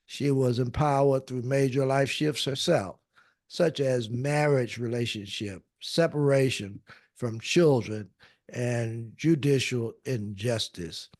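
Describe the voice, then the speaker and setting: A male podcast host who speaks very slowly and hesitates often, with frequent fillers and restarts. His voice sits at an ordinary middle pitch, recorded close and dry with no room sound. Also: darkish tone, no room sound. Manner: slow, hesitant, many fillers. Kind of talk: podcast interview.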